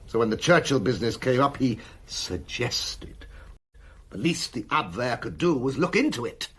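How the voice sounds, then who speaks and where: An actor in a radio drama playing a weary, cynical German admiral and spymaster who talks in a sardonic, confiding way. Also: male voice, mid-range voice, expressive, normal speed, fairly bright tone, slightly rough.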